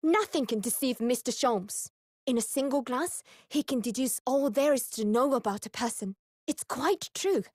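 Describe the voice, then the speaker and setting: An actress playing a polite, devoted young judicial assistant in a video game, refined and sincere. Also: female voice, high-pitched, expressive, moderate pace, darkish tone, dry recording, studio quality. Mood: awed, delighted.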